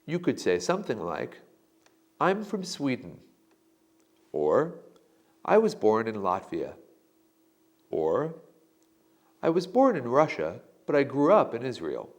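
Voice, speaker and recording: A man giving slow, deliberate sample answers in a scripted lesson. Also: medium pitch, wildly swooping pitch. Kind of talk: scripted instructional narration.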